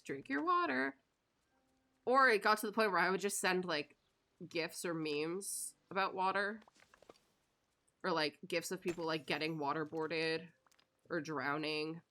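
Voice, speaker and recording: Bright, chatty woman streamer in her thirties. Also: fairly low pitch. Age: 30s. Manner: chatty storyteller, swears casually, laughs often. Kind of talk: casual chat while gaming.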